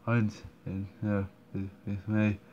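Guy mumbling thru a game, slow and flat, stopping and restarting a lot. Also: fairly low pitch. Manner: hesitant, many fillers and restarts. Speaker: player commenting on a game. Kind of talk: halting casual commentary. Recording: dull muffled recording, faint room noise.

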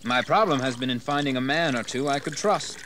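An actor playing a bold, ambitious colonial rebel leader in a history radio drama, speaking in a proud, formal, declamatory manner. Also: male voice, medium pitch, brisk, sharp tone, a little hiss or hum, natural voice. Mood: cool resolve. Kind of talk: dramatized history audio acting.

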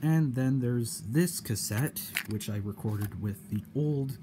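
A man giving a casual explanation in a hobby vlog, halting and often repeating his phrases. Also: mid-range voice. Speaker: cassette hobbyist demonstrating a problem. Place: indoor room at home. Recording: clear background noise.

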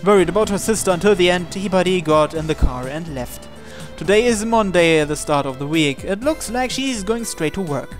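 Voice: mid-range voice, animated, normal speed, hardly any pauses. A person reading visual novel text aloud for a let's play, acting out the dialogue expressively. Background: game music.

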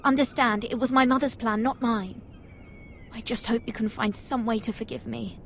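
A woman's voice: fairly high pitch, steady tone, moderate pace, a little hiss or hum, lightly acted. An actress playing an anxious, dutiful young lady-in-waiting in a fantasy video game, formal and apologetic in manner. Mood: apologetic, worried. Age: young adult. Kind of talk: dramatic video game acting.

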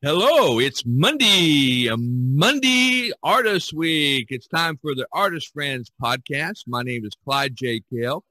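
An American man hosting a podcast in a conversational, halting and hesitant way. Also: a little high, very animated, bright, forceful tone. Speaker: podcast host.

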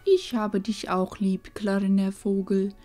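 A woman playing a loving, wise old grandmother in a fantasy audio drama, speaking solemnly and tenderly with breathy phrase endings. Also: mid-range voice, level tone, slow pace, mellow, soft background music, close to an everyday voice.

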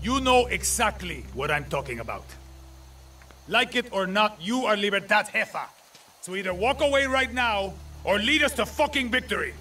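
A gruff older revolutionary in a cinematic game. His voice is high for a man and bright with force, and he provokes bluntly. He sounds a little away from the mic, with music underneath. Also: lively intonation, normal speed, far from the mic, slight background noise. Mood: forceful, urging. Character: hardened, cynical older male guerrilla mentor, gruff and goading. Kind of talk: cinematic video game acting.

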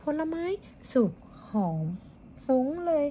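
A woman voicing a group of young, eager, impatient little mice in a storybook reading, with a high, whiny childlike voice. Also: slow pace, close to an everyday voice. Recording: dull lo-fi with faint noise.

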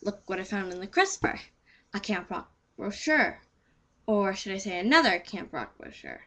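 A teenage girl voicing a caring, apologetic working mother with a gentle manner, in an amateur audition. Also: mid-range voice, sing-song, normal speed. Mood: teasing.

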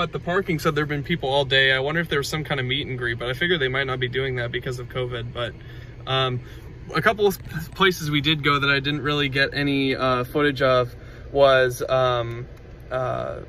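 A young man from Kansas vlogs into his phone at arm's length. His voice is bright and forward, with a mid pitch, and he rambles loosely through fillers and restarts. Faint street and car ambience sits behind him. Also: a little hiss or hum, rough recording. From Kansas, USA. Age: young adult. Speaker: vlogger. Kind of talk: casual vlog chat. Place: street outdoors, then inside a parked car. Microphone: phone held at arm's length.

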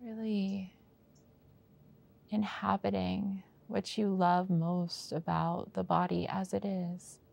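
A woman leads a guided meditation at a very slow pace. Her voice is mellow and relaxed, with a creaky fry in it. She gives gentle prompts and leaves long pauses between phrases. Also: mid-range voice. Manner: slow, pausing, unhurried delivery with gentle prompts. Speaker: meditation teacher.

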